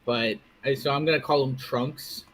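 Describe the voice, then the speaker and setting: A man's voice: mid-range voice, steady tone, normal speed, a bit bright. A man in casual stream banter who teases his co-host with playful insults while keeping an eye on chat messages.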